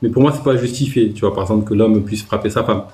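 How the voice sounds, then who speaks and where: A man hosting a live online discussion, speaking hesitantly and reflectively, with many pauses. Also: lowish voice, mellow, echoey room.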